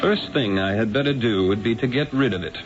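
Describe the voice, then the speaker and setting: An actor playing a proud, witty master painter in a radio drama, speaking in a theatrical, haughty and eloquent manner. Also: male voice, medium pitch, fairly quick, lightly acted. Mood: wry narrating. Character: proud, witty, grandiose male master painter narrating his story. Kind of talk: radio drama acting with first-person narration. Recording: dull vintage radio broadcast with music.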